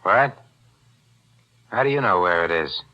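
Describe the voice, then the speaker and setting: A man's voice: sits low, expressive, measured pace, pushed and bright, exaggerated voice. A man playing an edgy, defensive small-time crook in a 1940s radio drama, talking in curt, slangy, defiant bursts. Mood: wary, defensive. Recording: dull, lo-fi 1940s radio recording.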